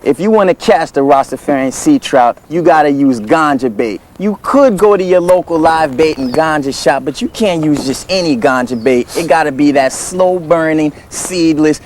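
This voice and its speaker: Brash hip-hop fishing host, male actor, higher-pitched, slangy comedy banter. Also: normal speed, hardly any pauses, a little mellow, slight background noise, close to an everyday voice. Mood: hyped. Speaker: show host.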